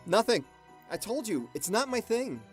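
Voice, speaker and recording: A man's voice: high voice, big pitch swings, normal speed, soft background music. A man playing a grumpy, sarcastic loner of a monster hunter in an audio drama.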